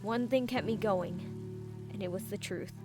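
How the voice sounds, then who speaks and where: A woman's voice: mid-range voice, measured pace, over music, close to an everyday voice. A woman playing an emotional, impulsive young female fighter in a fantasy audiobook. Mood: sad. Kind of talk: dramatised audiobook, narration and acting.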